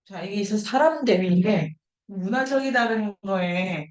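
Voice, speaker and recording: A woman's voice: mid-range voice, animated, normal speed, a little mellow, echoey room. A woman lecturing informally to a seminar group, thinking aloud, posing questions and repeating key words for emphasis. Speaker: lecturer leading an online book seminar.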